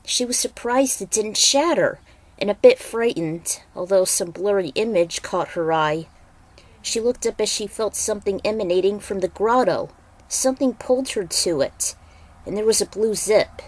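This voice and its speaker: A woman narrates a fan-fiction story in a mid-pitched voice. She reads scripted prose aloud as dramatic storytelling, in the role of the narrator. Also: a little mellow, low-quality recording.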